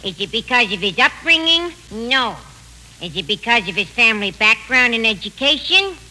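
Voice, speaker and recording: A woman playing a blunt mother of grown sons in a 1940s radio comedy, deliberate and deadpan. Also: on the high side, exaggerated voice. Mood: deadpan earnest. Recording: noisy 1940s radio broadcast recording.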